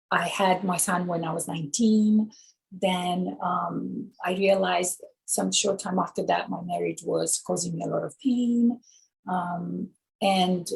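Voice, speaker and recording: A woman from Romania, a guest author, answering interview questions thoughtfully with many pauses and ums. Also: medium pitch, gravelly, a little mellow, breathy edge, rough recording.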